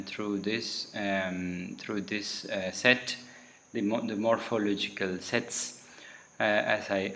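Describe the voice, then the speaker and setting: A man whose first language is Tamil, giving a recorded solo talk in a halting way, often pausing to search for words mid-sentence. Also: on the low side, a bit bright.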